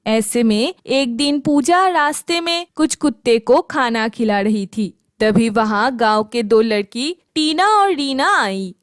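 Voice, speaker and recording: A woman's voice: on the high side, animated, takes it slowly, few pauses, dry recording, clean studio sound. A woman narrating a scripted children's story.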